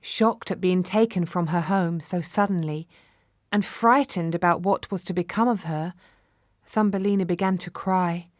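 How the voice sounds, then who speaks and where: A woman's voice: lowish voice, normal speed, no room sound. Woman storyteller, dry close sound. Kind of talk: scripted children's fairy tale narration.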